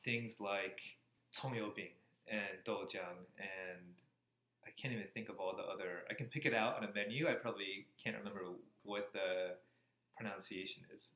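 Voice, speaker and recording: A man's voice: on the low side, fairly even intonation, normal speed, sharp tone. An American man in his thirties, answering interview questions in a casual way. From Florida, USA. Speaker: interview guest, cardiology fellow. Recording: echoey room, faint background noise.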